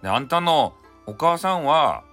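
A man voicing a caring, solemn old grandmother who speaks deliberately and emphatically in folksy Hakata dialect. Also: a little high, sing-song, a bit bright, soft background music, voice pushed up.

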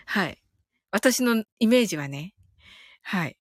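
A woman's voice: mid-range voice, lively intonation, slow pace, dry recording, studio quality. A woman hosting a casual live audio chat, reading out listener comments in a chatty, polite way and often giggling as she talks. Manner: giggly, laughs often while talking.

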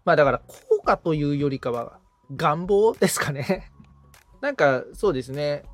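A man's voice: on the high side, lively intonation, normal speed, dry recording, faint music behind. A man talking casually as the client in a podcast consultation, agreeable and reflective as he thinks aloud. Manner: agreeable, frequent backchannels, reflective. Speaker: podcast assistant, client being coached, guitar repairer.

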